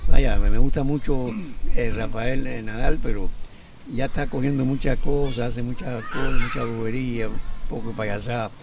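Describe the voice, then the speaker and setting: A man's voice: medium pitch, steady tone, normal speed. A man in his seventies with a Cuban accent, a former tennis player talking emphatically in a casual interview at an outdoor court. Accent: Cuban Spanish. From Cuba. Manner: emphatic, repeats words as he talks. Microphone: handheld mic held by interviewer. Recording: distant handheld mic with outdoor noise.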